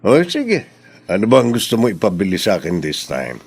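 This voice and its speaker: Doting middle-aged Filipino daddy, husky low voice, indulgent radio drama delivery. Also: male voice, huge pitch swings, fairly quick, a little mellow, full character voice. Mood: fond, indulgent. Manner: indulgent, teasing. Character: doting, indulgent father of a spoiled daughter.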